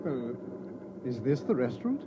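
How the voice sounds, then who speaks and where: A man's voice: mid-range voice, sing-song, normal speed, background noise, lightly acted. An actor playing a polite, inquisitive male sociologist visiting from abroad in a 1950s radio drama, hesitant and courteous. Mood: polite curiosity. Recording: dull vintage radio recording.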